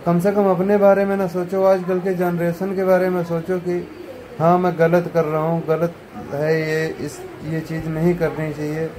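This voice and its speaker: A man giving a scornful, heated commentary, now muttering and now bursting out loudly. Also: fairly high pitch, little pitch movement, normal speed. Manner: scornful and heated, rising to loud outbursts. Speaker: online commentator. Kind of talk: ranting video commentary.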